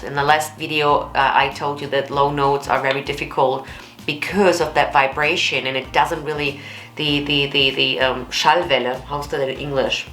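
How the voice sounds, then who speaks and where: A woman with a low alto voice, giving blunt, candid and expressive reaction commentary as a vocal coach. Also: roomy sound. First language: German.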